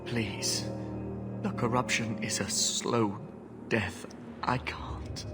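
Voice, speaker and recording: A man playing a wounded, dying husband in a fantasy game, gentle and resigned, with a weak, breathy, halting voice. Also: medium pitch, fairly even intonation. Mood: weak, pleading. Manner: halting, pleading. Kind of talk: fantasy video game acting. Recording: loud music behind the voice.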